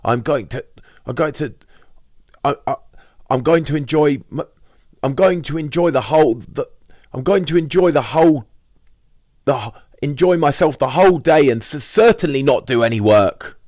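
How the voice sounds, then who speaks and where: A man's voice: fairly high pitch, moderate pace, a little mellow, no echo, studio quality, voice pushed up, natural voice. A man voicing a fed-up, blustering man who stammers and repeats himself as he declares defiance, in a diary audiobook. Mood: fed up, defiant. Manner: stammering, stumbling over repeated words.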